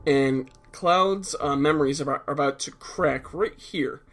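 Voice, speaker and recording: Stuffed up guy doing let's play commentary, kinda high voice, laid back and explaining stuff, faint music behind. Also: normal speed. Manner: relaxed, explanatory, blunt and a little profane. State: stuffed-up nose. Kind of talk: casual game commentary.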